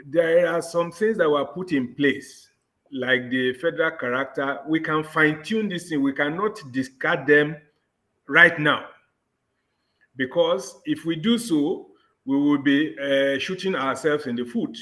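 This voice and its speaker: A man, a panel guest giving measured political commentary in a deliberate, diplomatic and hesitant way. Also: fairly high pitch, pushed and bright.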